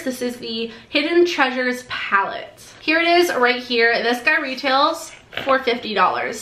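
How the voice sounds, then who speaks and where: Young woman beauty vlogger, nasal and a bit congested, bright tone, fairly high, echoey room. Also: slight background noise. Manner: bubbly, gushing, quick asides. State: sore throat, congested. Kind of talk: casual product unboxing to camera. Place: decorated bedroom, indoors.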